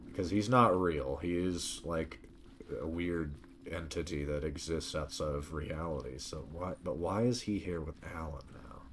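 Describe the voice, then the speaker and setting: A man's voice: very deep voice, normal speed, a little hiss or hum. A man giving casual reaction commentary as he streams a video game. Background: video game ambience.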